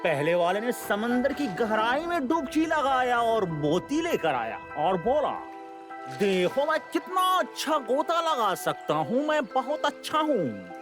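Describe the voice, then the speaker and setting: A man voicing a sentimental, theatrical cartoon animal storyteller with a romantic heart and a drawn-out delivery. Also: extremely high pitch, very animated, over music, full character voice. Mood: storytelling.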